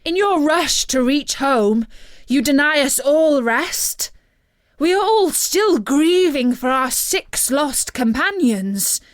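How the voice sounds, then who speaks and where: Woman as a defiant, high-pitched weary sailor lord. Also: lively intonation, takes it slowly, no room sound. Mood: defiant pleading. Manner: loud, accusing, pleading. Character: defiant, weary male sailor lord protesting to his captain.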